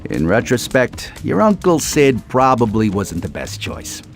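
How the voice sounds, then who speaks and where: A man voicing a dry, skeptical sabretooth tiger uncle in an animated game, teasing and deadpan but gruffly affectionate. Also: medium pitch, unhurried, over music. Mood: wry amusement. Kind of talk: animated game cutscene dialogue.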